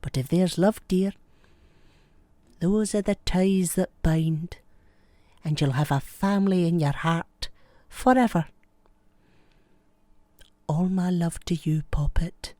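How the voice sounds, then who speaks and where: Female, acting as elderly motherly nanny: soft-edged mellow tone, tender and reassuring, close dry sound. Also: fairly low pitch, no echo. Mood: tender, consoling. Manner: gentle, unhurried, reassuring. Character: kindly, motherly old nanny reading and answering a child's letter. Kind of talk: film-style dramatic monologue.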